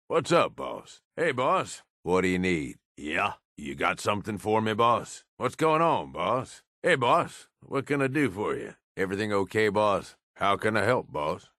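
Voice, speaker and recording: An actor playing a gruff, cynical middle-aged raider in a video game, with an American accent and a blunt, folksy, profane way of talking. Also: male voice, on the low side, fairly bright tone, no room sound, clean studio sound. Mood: attentive, friendly. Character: gruff, cynical middle-aged raider, plain-spoken and loyal to his boss. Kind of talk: video game companion dialogue.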